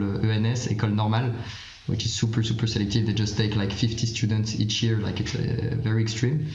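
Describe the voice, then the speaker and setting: Hesitant young French man, flat low voice. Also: roomy sound, muffled recording, slight background noise. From France. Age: 20s. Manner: hesitant, many fillers and restarts. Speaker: startup founder and guest. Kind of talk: unscripted interview answers.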